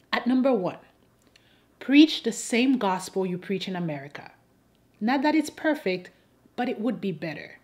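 Woman from haiti doing a scripted opinion video, mid pitched voice, deliberate and a bit wry. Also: a little mellow. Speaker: host of an advocacy video. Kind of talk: scripted persuasive commentary addressed to camera.

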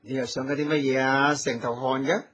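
A man acting a devoted, gentle hunter hero with a husky voice in a Hong Kong Cantonese radio drama. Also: medium pitch, steady tone, fairly quick, dull audio, close to an everyday voice. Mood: tender, earnest. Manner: gentle, solicitous, earnest. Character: tender, devoted hunter hero and new husband.

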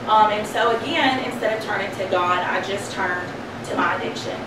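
Woman in her thirties, halting testimony. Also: mid-range voice. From Kentucky, USA. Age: 30s. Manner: halting, many ums and uhs. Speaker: program member giving testimony. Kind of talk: personal testimony. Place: church hall. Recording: echoey hall, far mic, noise.

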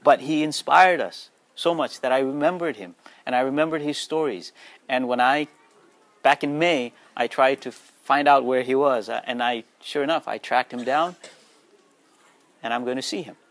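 A man's voice: medium pitch, moderate pace, fairly bright tone, no echo. A man in his forties from Nepal, native speaker of Nepali, giving an earnest motivational talk to schoolchildren and often checking in with them. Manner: earnest, asks the audience questions.

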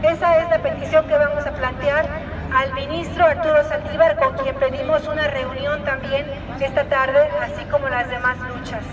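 A high-voiced woman with a Mexican accent makes a formal, articulate protest speech. The sound is muffled and distant, with crowd noise around her. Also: steady tone, moderate pace. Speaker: activist speaker for prisoners' families. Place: city street rally, outdoors. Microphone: PA speaker, filmed from a distance.

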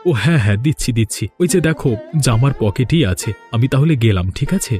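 A man's voice: medium pitch, lively intonation, fast, darkish tone, soft background music, lightly acted. A man voicing a humble, hardworking village husband in a cartoon, speaking in an earnest, explanatory way. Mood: weary, reporting. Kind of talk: cartoon dialogue.

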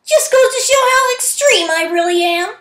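Woman doing swaggering cartoon tomboy girl, high voice, braggy, roomy sound. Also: animated, moderate pace, fairly bright tone. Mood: cocky, boastful. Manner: cocky, swaggering. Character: brash, boastful tomboy cartoon teenage girl. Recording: echoey room.

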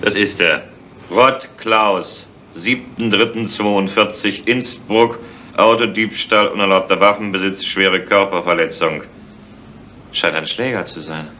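Deep, forceful police officer. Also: male voice, fairly even intonation, a little slow, a little hiss or hum. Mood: curt, dry. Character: businesslike, curt male police officer. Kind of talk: 1970s German crime radio drama acting.

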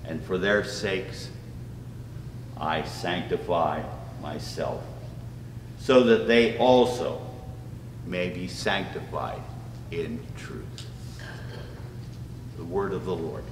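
A man in his seventies reading scripture solemnly, pausing between short phrases. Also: low-pitched. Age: 70s. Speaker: scripture reader. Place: small church room, standing at a lectern. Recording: echoey hall with clear background noise.

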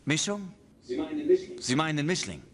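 A man's voice: a little high, wildly swooping pitch, slow pace, gravelly, crowd noise, full character voice. An actor playing an inquisitive, self-assured investigator in his thirties, asking brief questions in an adventure game. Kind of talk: German-dubbed video game dialogue. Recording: loud music behind the voice. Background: loud game music.

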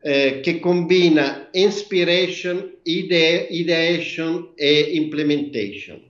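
A man in his sixties with an Italian accent, a native Italian speaker, giving measured, methodical explanations as an interview guest over a video call. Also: fairly high pitch. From Italy. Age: 60s. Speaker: interview guest, architect and designer. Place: indoor workroom, remote video call. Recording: echoey, dull video-call audio.

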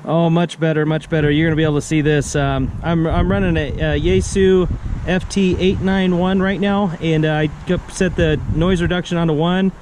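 A middle-aged American man with a fairly high voice, recorded outdoors with clear noise behind him. He talks casually as a ham radio operator and repeats short phrases for clarity. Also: steady tone, normal speed. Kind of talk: casual radio chat while demonstrating gear. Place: outdoor picnic table on a wooded mountain. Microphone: camera on the table nearby.

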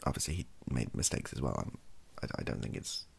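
A British man talks in an extremely low voice, heavy with fry. He speaks softly and hesitantly, rambling and rephrasing as he goes, with a husky edge to the sound. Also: animated. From United Kingdom. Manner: hesitant, halting, self-correcting. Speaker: ASMR video creator. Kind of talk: softly spoken improvised rambling talk. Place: small indoor home room.

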